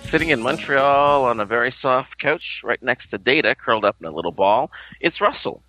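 A man co-hosting a casual podcast from a couch at home, rambling and giggly, with sudden theatrical jumps up in pitch. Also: animated, brisk, sharp tone, dry recording, soft background music, low-quality recording. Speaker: podcast co-host. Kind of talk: casual chat. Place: living room at home, on a couch.

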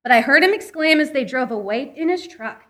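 Bright, high-pitched woman reciting comic verse. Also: lively intonation, brisk. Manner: theatrical storybook delivery, breaking into laughter. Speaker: live variety show performer. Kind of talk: comic recitation of a parody Christmas poem. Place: live event at a comic book shop.

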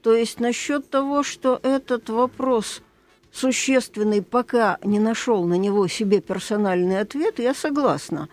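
A woman's voice: on the high side, measured pace, a little mellow. A woman co-hosting a radio talk show as a psychologist, explaining confidently. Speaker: psychologist co-host.

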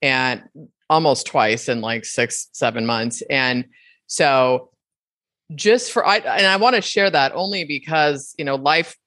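A woman's voice: extremely low pitch, unhurried, dry recording. A middle-aged woman co-hosting a podcast, talking candidly and animatedly about running a business together. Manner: animated and candid, with many restarts. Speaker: co-host and business partner. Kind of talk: casual conversation.

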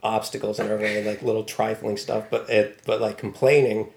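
Gravelly low-voiced man from Baltimore. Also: flat delivery, moderate pace, darkish tone, echoey room. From Baltimore, USA. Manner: thoughtful, wry, many fillers. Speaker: news writer, guest. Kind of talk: casual interview talk.

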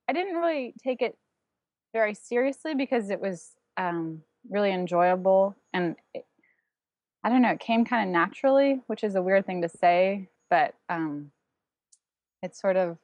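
A woman's voice: fairly low pitch, dry recording. A woman with German as her first language, a guest artist talking over her path, thoughtful and full of ums and restarts. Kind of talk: casual interview, recounting her path.

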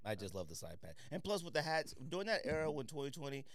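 A man's voice: on the high side, fast talker, dry recording, clean studio sound. A man co-hosting a podcast, telling stories and bantering about sneakers in casual, slangy talk. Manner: slangy, animated storytelling. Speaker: podcast co-host.

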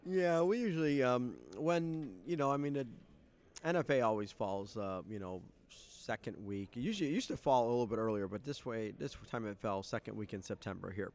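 A man co-hosting a casual podcast, talking in a halting way with many filler pauses. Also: medium pitch, a little mellow. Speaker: podcast co-host and fireworks importer. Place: convention hotel room.

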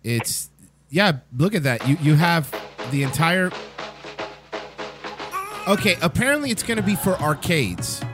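A man's voice: on the high side, lively intonation, measured pace, long pauses, over music. A man hosting a casual live talk show, enthusiastic and slangy in a buddy-like way.

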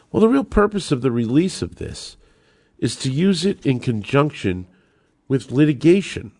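A man's voice: fairly high pitch, creaky, darkish tone, no room sound, studio quality. An American man hosting a talk podcast, giving emphatic, opinionated commentary with sarcasm and rhetorical questions that he answers himself. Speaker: podcast host and lawyer.

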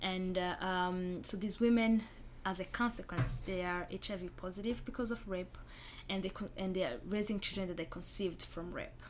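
A woman's voice: fairly low pitch, steady tone, normal speed, hardly any pauses, noisy background. A young woman from Rwanda with a Rwandan accent, a guest in an interview, speaking earnestly and reflectively and repeating phrases for emphasis.

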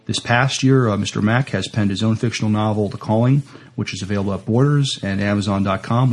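A man hosting a podcast interview in a halting way, stumbling over his words as he introduces a guest and asks prepared questions. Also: fairly low pitch, gravelly.

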